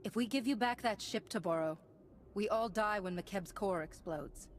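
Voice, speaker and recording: An actress playing a steadfast, confident heroine in a video game, composed and assured. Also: female voice, mid-range voice, moderate pace, slight background noise, close to an everyday voice. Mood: defiant. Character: steadfast, confident female Jedi hero. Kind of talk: video game character acting.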